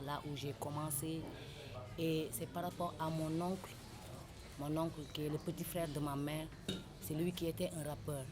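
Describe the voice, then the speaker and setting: Young Senegalese woman rapper, Senegalese French accent, deep voice, impassioned interview, noisy recording. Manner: impassioned, exhorting, repeats her points. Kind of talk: earnest interview talk. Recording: noisy background ambience.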